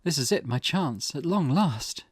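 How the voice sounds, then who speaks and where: A man voicing a formal, intense, vengeful grieving mother from a visual novel in a slow, dramatic delivery. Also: a little high, lively intonation, slight background noise, pitched above the performer's own voice. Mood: grave, intense.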